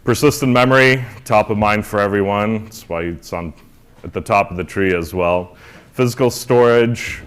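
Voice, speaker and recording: A man with a low, smooth and resonant voice welcomes a conference audience from the stage. He sounds upbeat and emphatic, pushing his voice to carry across the hall as he runs through the organization's work. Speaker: executive director opening a conference. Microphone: handheld or podium mic.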